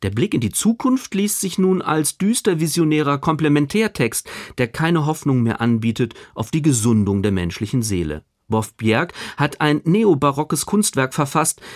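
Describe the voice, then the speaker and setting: Animated male critic, higher-pitched voice. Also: normal speed, few pauses, dry recording, studio quality. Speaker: literary critic. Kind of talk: scripted radio book review.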